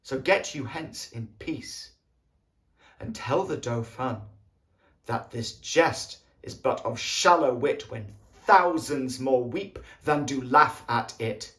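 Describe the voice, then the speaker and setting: A man playing a proud young warrior king, coldly menacing, in a deliberate, emphatic Shakespearean stage monologue. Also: mid-range voice, a bit bright. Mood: solemn menace. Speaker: actor performing an audition monologue.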